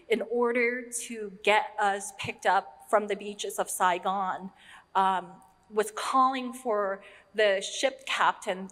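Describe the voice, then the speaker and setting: A woman from Vietnam, a nonprofit leader, telling her personal story to an audience with earnest, halting speech and frequent ums. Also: mid-range voice, a bit bright. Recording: long hall reverb.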